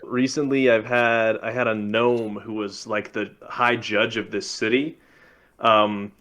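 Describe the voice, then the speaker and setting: A man's voice: on the low side, little pitch movement, measured pace, fairly bright tone. A man on a game masters' panel, telling anecdotes in casual chat.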